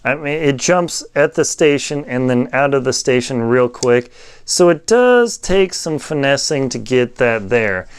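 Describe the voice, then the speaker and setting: A man giving a casual, unscripted product review, talking in a halting way as he thinks aloud while demonstrating. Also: mid-range voice, lively intonation, clean tone.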